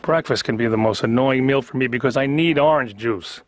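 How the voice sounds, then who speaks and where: A man's voice: medium pitch, a little fast, no echo. A man doing a conversational observational comedy monologue, complaining in a neurotic, exasperated way. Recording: slightly dull lo-fi cartoon soundtrack.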